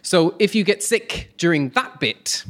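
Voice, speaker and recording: A man telling a humorous personal anecdote live on stage, in a conversational, hesitant manner with comic pauses. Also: high voice, expressive, fairly bright tone. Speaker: storyteller on stage.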